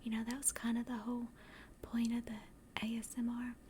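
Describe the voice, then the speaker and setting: A woman chatting casually to livestream viewers in a soft, breathy ASMR whisper, gentle and rambling, close to the microphone. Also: completely flat delivery, normal speed, background noise. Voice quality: soft, breathy whisper and hushed low-volume speech. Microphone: close directional microphone.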